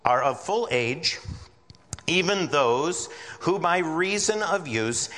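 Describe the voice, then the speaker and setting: A man preaching an evening Bible-teaching sermon in a big voice, earnest and deliberate, drawing out the ends of his phrases. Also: a little high, lively intonation, bright, forceful tone. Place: large reverberant room with a congregation. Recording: reverberant hall sound.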